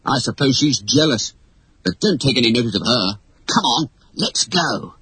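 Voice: medium pitch, animated, normal speed, a bit bright, slightly rough, no room sound, poor audio. A storybook narrator voicing a cocky, mischievous boy adventurer with a brash, bossy, confident manner. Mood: dismissive. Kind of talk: acted storybook dialogue.

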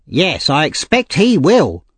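A man voicing a thin, sour, scolding shopkeeper's wife in an audiobook, sharp-tongued and contemptuous. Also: a little high, wildly swooping pitch, measured pace, no echo, voice pushed up, heavily acted. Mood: angry, scornful. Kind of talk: audiobook character voice.

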